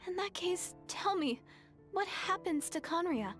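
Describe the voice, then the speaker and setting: A hopeful, devoted young woman, with a high voice and a sincere, gentle manner. She sounds like a character from a game cutscene. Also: expressive, unhurried, faint music behind, full character voice. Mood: earnest.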